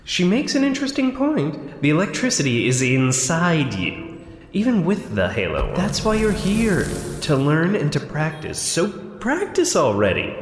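An actor playing an uncanny dream voice that coolly goads and instructs, measured and commanding, with heavy echo, in an audio drama. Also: medium pitch, huge pitch swings. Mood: cool, goading. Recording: echoing reverb with music.

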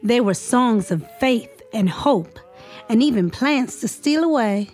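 A woman's voice: fairly high pitch, expressive, takes it slowly, a little mellow, faint music behind. An actress playing an eager, spirited young woman writer in an audio drama, polite and persuasive as she seeks patronage. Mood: earnest, persuasive.

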